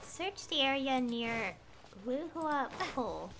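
A woman gives casual live commentary on a game, thinking aloud. Her voice is a little high and very animated, though her pace is unhurried. Faint game music runs underneath. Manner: self-amused, thinking aloud. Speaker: game streamer.

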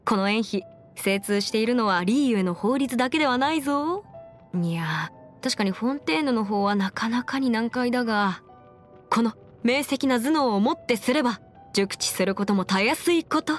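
A woman's voice: fairly high pitch, animated, moderate pace, close to an everyday voice. A woman voicing a confident, clever young female legal advisor in an anime game, articulate and slightly boastful, with playful pride. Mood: proud, confident. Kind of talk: Japanese anime game character voice lines. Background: music.

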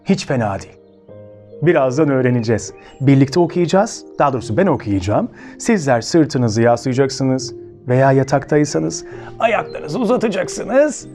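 Animated Turkish man telling children's stories. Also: medium pitch, moderate pace, soft background music. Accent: Turkey Turkish. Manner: expressive, addresses listeners as friends. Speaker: children's storytelling show host. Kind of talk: children's storytelling with direct questions to listeners.

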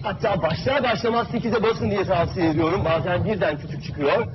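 A young man hosting a TV game show, commentating live in an excitable, encouraging way. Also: sits high, fairly quick, noisy background. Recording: dull TV audio, loud game music. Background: cartoon game music.

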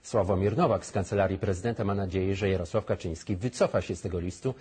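A male news anchor reads scripted news in a studio. His voice is fairly low and very rough-edged. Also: fast talker, darkish tone.

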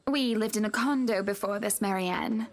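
An actress playing a wealthy, teasing, sharp-tongued young wife in a visual novel, chatty and pointed. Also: female voice, mid-range voice, normal speed, natural voice.

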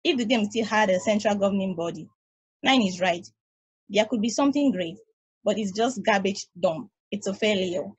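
A woman's voice: mid-range voice, normal speed, husky, natural voice. A woman reading a grumpy, cynical space probe in a table read over a video call, talking in a blunt, dismissive way.

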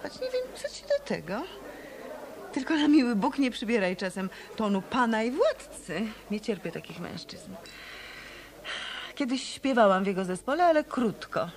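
An actress playing a self-assured, sardonic, tough nightclub singer in a hard-boiled radio play. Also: female voice, on the high side, big pitch swings, moderate pace. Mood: sardonic, cool. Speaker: radio drama actor.

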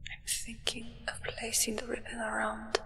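A young woman acting a solemn, menacing vampire's acolyte in a hushed, deliberate ASMR roleplay whisper, close to the microphone. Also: fairly high pitch, completely flat delivery, creaky, a little hiss or hum. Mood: sinister, savoring. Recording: whisper with long reverb.

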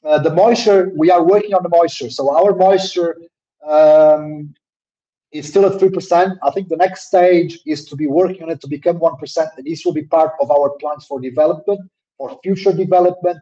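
A man's voice: normal speed, dark tone. Hesitant man on a muffled video call. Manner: hesitant, many fillers and repeated words; says his own voice is a little deep. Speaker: webinar presenter answering questions. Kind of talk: live webinar Q&A. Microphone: laptop mic on a video call. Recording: dull lo-fi call audio.

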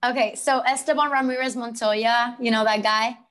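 A young woman from Puerto Rico, a guest actress chatting on a video call through earphones, telling stories with lively gestures. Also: fairly high pitch, normal speed. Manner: animated storytelling with laughs and gestures. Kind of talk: casual interview chat. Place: home room with blue wall. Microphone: earphone headset mic on video call.

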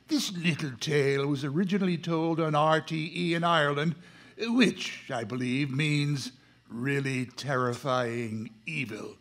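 A man's voice: on the high side, fairly bright tone. A man hosting in the style of old-time radio horror, announcing in a theatrical, mock-ominous manner. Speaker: show host, announcer. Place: lecture hall stage before live audience. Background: music.

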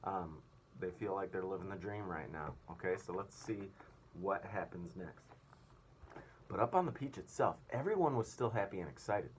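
Man, thirties, low pitch, teacher's read-aloud narration, noticeable room noise. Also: animated, normal speed. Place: small indoor school room. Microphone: device at about arm's length.

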